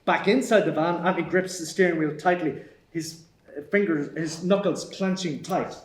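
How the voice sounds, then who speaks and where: A man's voice: on the high side, normal speed. A man reading stage directions as scripted narration at a screenplay table read. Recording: echoey room.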